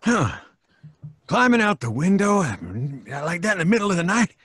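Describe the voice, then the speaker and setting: A man's voice: high-pitched, very animated, normal speed, fairly bright tone, husky, dry recording, heavily acted. A man playing a fearful, superstitious rustic townsman who stammers, in an old-time radio horror play. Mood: uneasy. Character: nervous, superstitious rustic townsman, reluctant sidekick.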